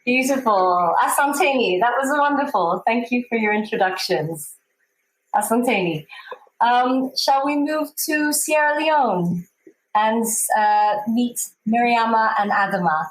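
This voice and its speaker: A woman moderating an online panel, calling on speakers with encouraging, gently hesitant prompts. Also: a little high, expressive, measured pace, reverberant, poor audio. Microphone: video call.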